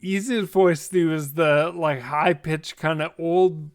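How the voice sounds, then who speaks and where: A man leads a relaxed panel discussion among game masters. His voice is pitched fairly high, and he chats casually as host. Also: measured pace, clean tone. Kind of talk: casual roundtable chat.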